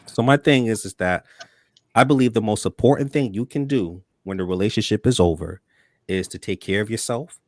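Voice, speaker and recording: A man with a very low voice hosts a live talk show in a loose, chatty way, dropping casual slang as he talks. The sound is dry and close, with no room around it. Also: a little fast, no room sound. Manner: relaxed, conversational, slangy. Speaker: host.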